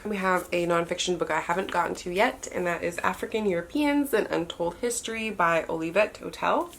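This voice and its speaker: A young woman with a stuffy nose, chatting in a relaxed, often unsure way as she walks through the books on her shelves. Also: lowish voice, vocal fry. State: stuffy-nosed. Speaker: book vlogger. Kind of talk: casual bookshelf tour talk.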